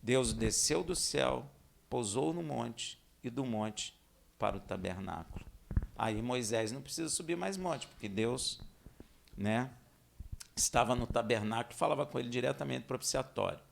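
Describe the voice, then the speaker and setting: A man from Minas Gerais with a Brazilian accent, preaching and teaching scripture in a conversational way, with rhetorical questions, dramatic emphasis and hushed asides. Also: mid-range voice, animated, moderate pace, vocal fry, slight background noise. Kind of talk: expository sermon with scripture reading.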